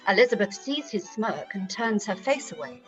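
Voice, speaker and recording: A woman's voice: mid-range voice, very animated, moderate pace, soft background music. A woman reading scripted scene narration for a period radio play. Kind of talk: scripted stage-direction narration.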